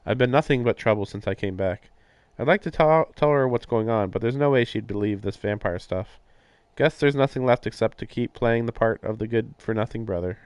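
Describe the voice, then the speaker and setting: A man's voice: mid-range voice, a little fast, darkish tone, clear, resonant tone, no room sound. A man livestreaming a visual novel, casually reading narration aloud and adding sardonic, incredulous asides. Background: game music.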